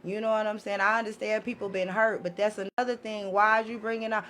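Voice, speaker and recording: A woman's voice: medium pitch, fast. A woman in her forties talking casually and emphatically on a podcast, outspoken and full of slang and swearing. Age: 40s. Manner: outspoken, animated, emphatic, often addressing listeners directly. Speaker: podcast guest, interviewer in clubs.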